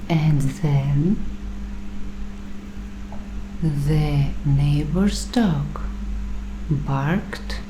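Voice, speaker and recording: A woman playing a paranoid doomsday-prepper waitress in an ASMR roleplay, speaking softly and deliberately in a secretive, conspiratorial way, sometimes in a whisper. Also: low-pitched, reverberant, background noise. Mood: ominous, urgent.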